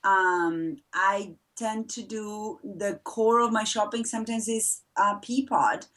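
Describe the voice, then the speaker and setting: A woman's voice: mid-range voice, takes it slowly, fairly bright tone, distant mic. A middle-aged woman from Argentina, a mother of four, chatting as an interview guest with frequent laughs and fillers. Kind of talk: casual interview chat.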